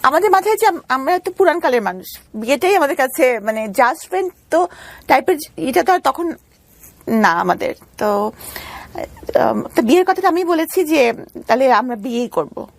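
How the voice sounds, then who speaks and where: A Bangladeshi woman telling her personal story as an interview guest, halting and repeating phrases for emphasis. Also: high-pitched, very animated, dry recording.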